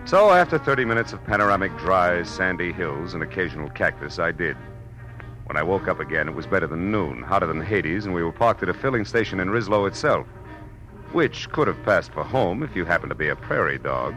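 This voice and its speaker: An actor with an American accent playing a tough, wisecracking private detective in a 1940s radio drama, wry and clipped. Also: male voice, sits low, animated, fairly quick, bright, forceful tone, soft background music, poor audio. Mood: hard-boiled, wry. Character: hard-boiled, wisecracking male Los Angeles private detective. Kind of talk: 1940s radio acting, noir narration. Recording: old radio broadcast recording.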